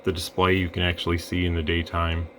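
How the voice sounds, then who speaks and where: A man testing radio gear in a relaxed, rambling way, breaking into mic checks and counting. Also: low voice, brisk, slight background noise. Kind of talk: casual product demo.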